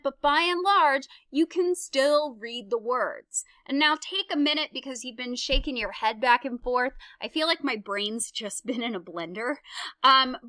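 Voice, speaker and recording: Bright, chatty woman lecturer. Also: high voice, no room sound. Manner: chatty, self-deprecating, gives hands-on instructions. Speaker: psychology lecturer. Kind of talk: recorded slide lecture. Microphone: close mic, voice-over on slides.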